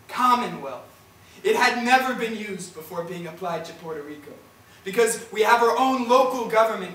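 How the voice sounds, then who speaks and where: A man playing an earnest, proud old Puerto Rican grandfather who deliberately tells his history in a stage play. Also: sits high, bright, forceful tone. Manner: deliberate, storytelling, slips into Spanish. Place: indoor stage, recorded from far off. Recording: roomy, distant theatre recording, faint noise.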